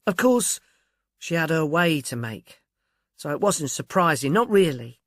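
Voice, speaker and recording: A man's voice: on the high side, very animated, measured pace, no echo, studio quality, pitched above the performer's own voice. A man voicing a timid, deferential working-class young woman in an audiobook, speaking in a hesitant, polite, earnest way. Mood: earnest, uneasy. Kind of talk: audiobook character dialogue.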